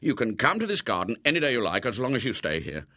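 A man's voice: mid-range voice, sing-song, normal speed, a bit bright, dry recording, clean studio sound. A man voicing a nervous, flustered middle-aged man who stammers and pleads. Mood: pleading.

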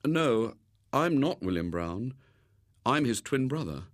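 A man's voice: mid-range voice, big pitch swings, slow, no room sound, clean studio sound. Man playing a mournfully innocent, cheeky schoolboy. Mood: mournful innocence. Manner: mournful, hesitant mock innocence.

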